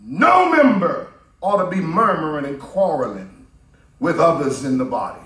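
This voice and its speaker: A middle-aged man preaching a sermon from a pulpit microphone in a church, building his cadence up to shouts. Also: on the high side, big pitch swings, normal speed. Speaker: pastor. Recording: roomy church from a distance.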